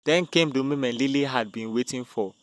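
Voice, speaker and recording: A man's voice: mid-range voice, brisk, dry recording. A man narrating a dramatic scripted tale as a storyteller.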